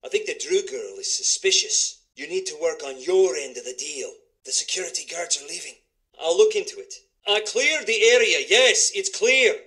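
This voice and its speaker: A restless, secretive informant in a video game. His voice is high and sharp, with animated swings of pitch. He speaks tersely, as a man on edge. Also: normal speed. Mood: guilty, defiant. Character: edgy, secretive man working as reluctant informant.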